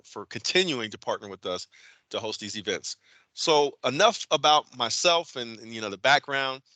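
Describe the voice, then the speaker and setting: A man hosts an online event, chatting casually with filler words as he leads into the keynote speaker. His voice is sharp and bright at a mid pitch. The recording is very dry, with no room sound. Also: no echo. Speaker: event host. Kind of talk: introducing a speaker at a virtual event.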